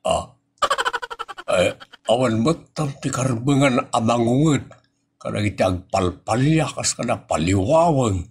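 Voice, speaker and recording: An actor with an Ilocano Filipino accent playing a gruff, scolding boss and henpecked husband in a comedy audio drama. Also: male voice, medium pitch, lively intonation, measured pace, darkish tone. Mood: sheepish, grumbling.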